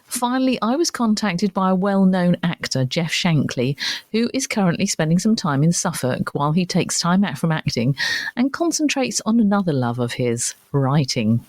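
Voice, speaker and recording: Croaky woman hosting a podcast. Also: on the low side, very animated, darkish tone, no echo. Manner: chatty, teasing, quick. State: recovering from a cold, croaky. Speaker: podcast host. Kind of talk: casual chat and presenting.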